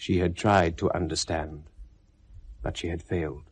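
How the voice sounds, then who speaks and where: A man giving measured, solemn scripted narration for a 1970s radio drama. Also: low-pitched, fairly even intonation, background noise.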